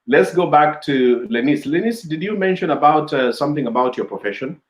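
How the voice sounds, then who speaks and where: A man's voice: fairly high pitch, expressive, a little fast. A man hosting a casual interview over a video call, chatty and laughing often with many fillers. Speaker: host. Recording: echoey room.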